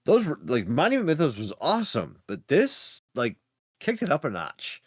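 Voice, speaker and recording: A man's voice: on the high side, wildly swooping pitch, normal speed, husky, no echo, studio quality. A man co-hosting a podcast, chatting casually and emphatically, with frequent swearing. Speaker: podcast co-host reviewing web horror series. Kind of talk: casual animated chat.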